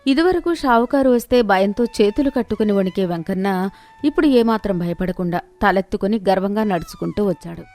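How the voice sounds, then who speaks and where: A woman's voice: mid-range voice, animated, fairly quick, darkish tone. Woman narrating a moral story over music.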